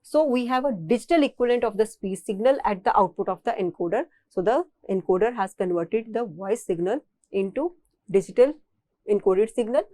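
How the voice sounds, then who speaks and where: A woman's voice: mid-range voice, animated, a little fast, dark tone, no echo. A woman with an Indian accent giving a technical engineering lecture, explaining step by step and often repeating and rephrasing her phrases.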